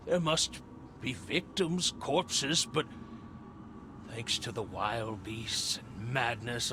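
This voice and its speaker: A grave occultist in a video game. He is slow and hesitant, with a creaky, weary, guarded voice and music clearly playing behind him. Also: mid-range voice. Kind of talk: video game dialogue acting.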